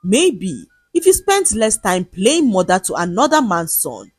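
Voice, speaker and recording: A woman's voice: medium pitch, wildly swooping pitch, unhurried, husky, no echo, faint music behind, heavily acted. A woman voicing a cruel, jealous husband in a folktale, scornful, accusing and domineering. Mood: bitter, mocking.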